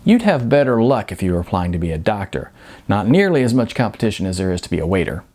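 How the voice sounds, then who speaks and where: A man's voice: on the low side, very animated, fast, darkish tone. A man voicing a blunt, world-weary restaurant manager in an audiobook, explaining things frankly and emphatically. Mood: blunt, patient.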